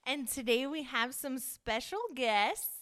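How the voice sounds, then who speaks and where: A woman's voice: high voice, unhurried, fairly bright tone. A woman co-hosting a podcast in a casual, chatty style. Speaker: podcast co-host. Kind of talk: casual chat.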